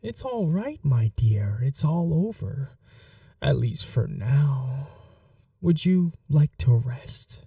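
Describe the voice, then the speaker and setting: Man acting very slow, dark-voiced possessive vampire. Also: medium pitch, big pitch swings, gravelly, no room sound, clean studio sound, distant mic, heavily acted. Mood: mock soothing. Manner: slow, hushed, dominant, formal endearments, often whispering. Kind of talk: intimate ASMR roleplay, scripted monologue.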